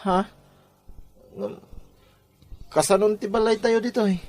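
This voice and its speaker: Sad lovesick ilocano college guy man playing him, voice on the higher side. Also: fairly even intonation, measured pace, darkish tone. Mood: anxious, protesting. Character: downcast, lovesick college-age son Brian. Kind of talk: Ilocano comedy-drama podcast acting.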